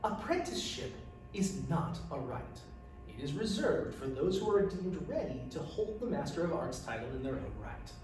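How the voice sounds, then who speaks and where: A man's voice: fairly high pitch, very animated, normal speed, darkish tone, soft background music. A man voicing a composed, diplomatic woman who heads a collegium in a storybook reading, courteous and firm. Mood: measured, firm. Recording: echoey room, distant mic, faint noise.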